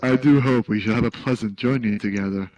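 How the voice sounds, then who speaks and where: A man voicing a fat, weepy, saccharine foreign traveller with a thick, slightly guttural voice and a sugary, slow, self-pitying way of talking. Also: mid-range voice, lively intonation, rough recording. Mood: sugary polite.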